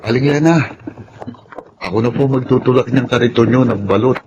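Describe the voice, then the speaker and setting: A man's voice: medium pitch, a little fast, dull audio, natural voice. Polite Filipino man played by an actor, noisy background. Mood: helpful. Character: helpful, polite young male neighbour. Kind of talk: Filipino radio drama acting. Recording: noisy outdoor-like ambience behind.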